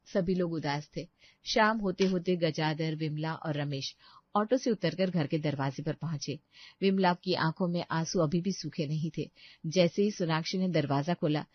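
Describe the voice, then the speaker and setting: A woman's voice: low voice, normal speed, no echo, muffled recording. Woman narrator, dry storytelling voice.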